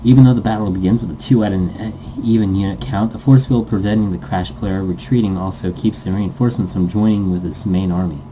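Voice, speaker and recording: A man's voice: low voice, fairly even intonation, a little fast, hardly any pauses, background noise. A man reading a story aloud as a let's player, stumbling over words now and then and breaking into goofy asides. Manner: stumbles over text and self-corrects, goofy. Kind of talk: story narration read aloud with playful asides.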